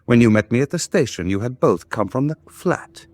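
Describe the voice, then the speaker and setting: An actor playing a bitter, insubordinate submarine first officer in a radio drama, speaking in a cold, clipped, insinuating way. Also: male voice, medium pitch, lively intonation, moderate pace, darkish tone, close to an everyday voice. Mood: bitter, accusing.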